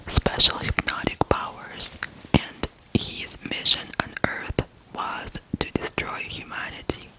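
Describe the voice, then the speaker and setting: An ASMR host from Ukraine telling true crime stories in a breathy whisper, in deliberate phrases with pauses. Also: rough recording.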